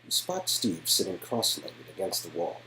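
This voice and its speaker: A man's voice: low voice, huge pitch swings, moderate pace. A man reading scripted story narration from an interactive game, recorded with faint background noise. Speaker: narrator and reader of game dialogue.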